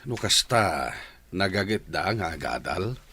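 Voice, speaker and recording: A man playing a weary, measured older brother and disappointed father in a radio drama. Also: sits low, sing-song, sharp tone, husky, heavily acted. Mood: warm concern.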